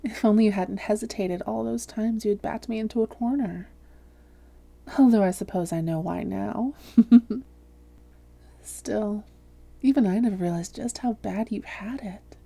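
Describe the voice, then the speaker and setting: A woman playing a haughty, seductive vampire hypnotist, breathy and often whispering, with a smug, teasing, slow and coaxing delivery in an intimate roleplay audio. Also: medium pitch, mellow. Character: haughty, seductive female vampire hypnotist toying with a captured hunter.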